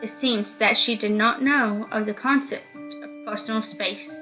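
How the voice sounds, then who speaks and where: A woman's voice: mid-range voice, fairly even intonation, a little slow, bright, forceful tone, soft background music, poor audio. A woman reading first-person story narration aloud from a visual novel.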